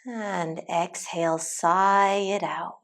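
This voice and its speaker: A woman teacher leading a guided meditation in a calm, soothing, deliberate way with long pauses. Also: lowish voice. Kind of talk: guided meditation, soft instructional narration.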